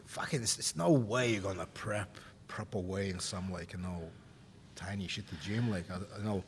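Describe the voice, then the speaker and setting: Lowish-voiced man in an echoey hall. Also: normal speed. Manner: blunt, sweary, rambling. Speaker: podcast host. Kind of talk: casual chat. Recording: echoey hall, faint rumbling noise.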